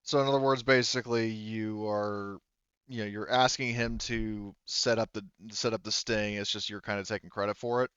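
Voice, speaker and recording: Clear, smooth low-voiced man, slightly dull audio. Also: steady tone, normal speed, fairly bright tone, no echo. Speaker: game master. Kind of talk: explaining a tabletop roleplaying game to players.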